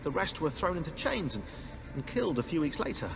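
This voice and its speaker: Eager history buff, casual storytelling, very varied intonation, reverberant sound. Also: male voice, fairly high pitch, a little fast, gravelly. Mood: enthusiastic. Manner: animated, informal. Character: chatty, eager history-buff man telling stories. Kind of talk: casual conversational game acting.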